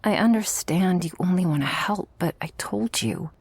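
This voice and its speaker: A woman voicing an affectionate, protective, dominant shark girl in an intimate ASMR roleplay, with a breathy voice that often drops to a whisper. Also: on the low side, a little mellow, no echo. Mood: firm, worried. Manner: slow, teasing, tender and commanding.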